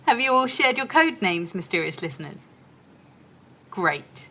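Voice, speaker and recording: A woman's voice: medium pitch, sing-song, measured pace, sharp tone, a little hiss or hum, exaggerated voice. A woman playing an eager, bossy helper in a children's audio play, giving listeners chirpy step-by-step instructions. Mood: bright, organising. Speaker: co-presenter of a participatory audio play.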